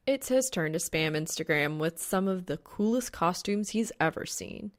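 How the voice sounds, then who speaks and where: A woman reading scripted fan fiction aloud as an audiobook narrator. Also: fairly low pitch.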